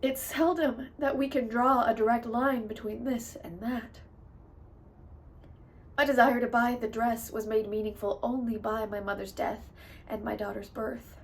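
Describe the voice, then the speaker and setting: A woman delivering a theatrical dramatic monologue in an emotive, emphatic way, swinging between soft and loud. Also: fairly high pitch. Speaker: actor performing monologues. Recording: distant mic with clear background noise.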